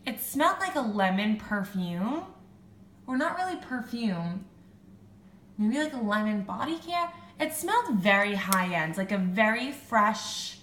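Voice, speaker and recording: A woman's voice: medium pitch, lively intonation, measured pace, fairly bright tone. A young woman talks to her camera, her nose stuffed up and her throat sore, her sentences loose and full of "like" and "um". The room around her echoes, with a faint hum underneath. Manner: chatty, lots of "like" and "um". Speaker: beauty vlogger. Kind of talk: casual chat.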